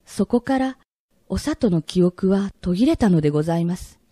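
A woman's voice: medium pitch, animated, moderate pace, mellow, no echo, studio quality. A woman narrating a period drama in a polite storytelling style.

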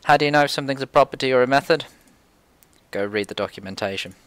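A man's voice: mid-range voice, fast, fairly bright tone, smooth, no echo. A man presenting a casual screencast coding tutorial, informally thinking aloud as he works.

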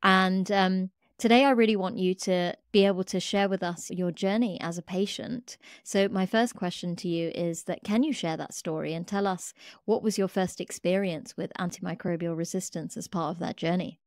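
A woman's voice: fairly low pitch, steady tone, normal speed, dry recording. A woman in her thirties hosting an interview, reading an enthusiastic introduction into a studio microphone. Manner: enthusiastic, warm emphasis. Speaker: podcast host. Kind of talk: scripted guest introduction and interview. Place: pink-lit home studio. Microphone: studio mic with headphones. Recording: music bed behind voice.

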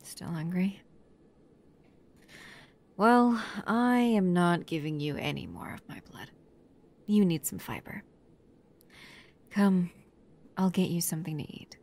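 Slow, low-voiced protective girlfriend, acted by a woman. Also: lively intonation, full character voice. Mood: soft, affectionate. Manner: soft, breathy near-whispers mixed with stern scolding. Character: protective, upset young human woman scolding vampire lover. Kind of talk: ASMR roleplay.

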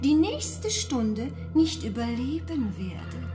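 A coldly threatening radio-play witch, high-pitched and very lively in tune. She lingers mockingly over her words, with music behind. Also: slow, full character voice. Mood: mock regret, threatening. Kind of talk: German radio play acting.

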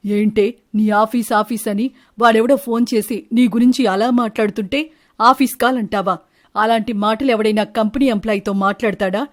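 A woman's voice: a little high, fairly even intonation, moderate pace, lightly acted. A woman voicing suspicious, wronged male birds in a cartoon story, questioning and confrontational. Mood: accusing. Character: suspicious, wronged male bird husbands and lovers, accusing. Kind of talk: animated Telugu moral-story dialogue.

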